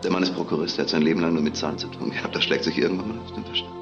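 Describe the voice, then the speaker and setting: An actor playing a smug, cynical, self-absorbed suspect in a German crime radio drama, talking with sardonic arrogance. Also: male voice, low voice, racing, muffled recording, music in the background. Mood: cynical, provoking.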